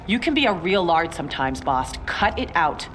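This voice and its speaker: Cocky young huntress in a game, deep for a woman, swingy delivery, wry. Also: very animated, normal speed, fairly bright tone, slight background noise. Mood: annoyed. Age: young adult. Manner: wry, self-assured. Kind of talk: video game voice acting.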